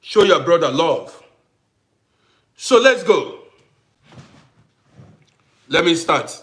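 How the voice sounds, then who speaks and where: Animated man hosting a livestream. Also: a little high, drawn-out words. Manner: animated, greets viewers by name, repeats himself. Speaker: livestream host. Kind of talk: casual livestream chat with viewers.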